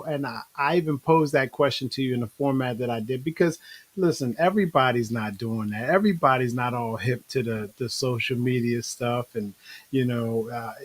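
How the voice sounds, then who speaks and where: A man hosts a relaxed online interview over a video call in a casual, conversational way. He keeps the talk loose and friendly, dropping in bro and you know as he goes. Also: mid-range voice. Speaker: online show host.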